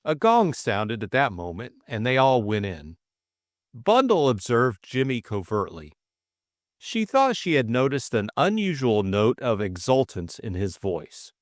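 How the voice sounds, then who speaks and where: A male storyteller reads a classic tale with measured expression. His pitch moves widely over a slow pace, in a close, dry recording. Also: big pitch swings, dry recording. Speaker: audiobook narrator. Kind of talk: scripted story narration.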